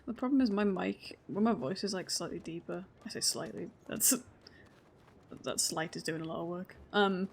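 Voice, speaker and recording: Deep-voiced lady streaming, laid back, swears a bit, lots of vocal fry, a little hiss. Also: normal speed. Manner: relaxed, swears casually, self-deprecating, laughs often. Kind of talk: casual livestream chat while playing. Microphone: desk mic moved a little further from her face.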